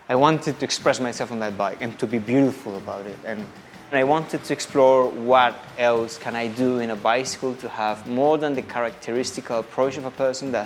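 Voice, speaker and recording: A man from Colombia with a Colombian accent and native Spanish, explaining his design work haltingly, searching for words. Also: mid-range voice. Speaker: bicycle designer. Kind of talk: casual explanatory interview.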